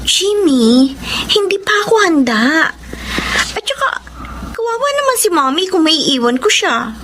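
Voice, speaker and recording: A woman's voice: extremely high pitch, very animated, moderate pace, fairly bright tone, slight background noise, exaggerated voice. A woman playing a sulky, possessive young adult daughter in a Filipino radio drama, speaking with a Filipino accent. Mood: hesitant. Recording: music under the voice.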